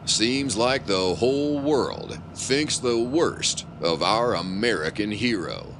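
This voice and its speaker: Grandiose male serial announcer, measured pace, dramatic swings in pitch, pulp adventure narration. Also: lively intonation, background noise. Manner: grandiose, suspenseful.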